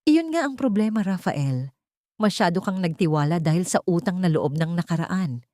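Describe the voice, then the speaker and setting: Manipulative society villainess, played by a female narrator, lowish voice, calculating, for an audiobook melodrama. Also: lively intonation, moderate pace, no echo, studio quality, lightly acted. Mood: sweet, persuasive. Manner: soft, coaxing or coldly menacing. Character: scheming, manipulative rich woman, sweet-talking and cruel.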